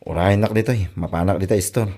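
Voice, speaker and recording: A man's voice: low-pitched, fast, warm tone. A man with an Ilocano Filipino accent playing a patient young suitor in a comedy drama. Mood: patient, courting. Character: patient young male suitor bringing treats.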